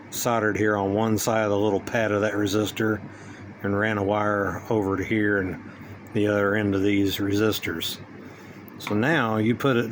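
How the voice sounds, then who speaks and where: A man with a fairly low voice gives an informal, hands-on demonstration. He talks plainly and folksily, with loose everyday grammar. Steady background noise is clearly audible behind him. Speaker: hobbyist presenter demonstrating radio gear.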